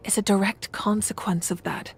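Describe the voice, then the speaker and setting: A woman playing a composed, commanding leader of a nation in an anime video game, measured, dignified and resolute. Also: medium pitch, steady tone, gravelly, whispered, natural voice. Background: game music.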